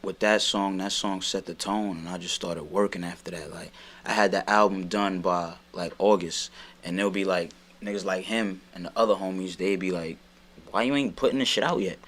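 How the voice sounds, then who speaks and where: A man's voice: low-pitched, steady tone, normal speed, a bit bright. A young man from Brooklyn, a rapper guest talking through his process in a casual, laid-back, rambling way. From Brooklyn, New York. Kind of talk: casual sit-down chat.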